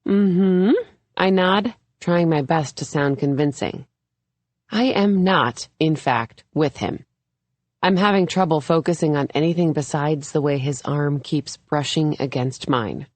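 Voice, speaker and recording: A woman narrates a first-person thriller audiobook in a low voice. The recording is dry and close, with the scripted flow of a novel being read. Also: unhurried, no echo.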